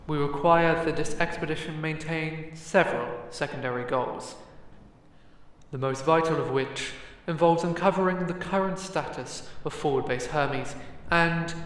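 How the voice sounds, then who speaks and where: A woman's voice: low voice, very echoey, in a large hall, slight background noise. Solemn, imperious council leader, acted by a woman. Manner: formal, ceremonious, declamatory. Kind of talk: fantasy audio drama acting.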